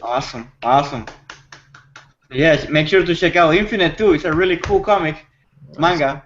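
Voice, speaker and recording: A man with a lightly raised pitch chats as part of a panel. He talks in a relaxed, informal manner. The audio is slightly muffled and lo-fi. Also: normal speed, slight background noise. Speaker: panel member. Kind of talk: casual chat.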